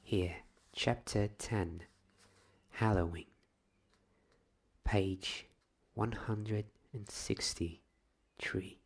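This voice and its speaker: Male ASMR storyteller, hushed delivery, husky and fry-laden, very slow reading. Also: lowish voice, steady tone. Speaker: host reading a bedtime story.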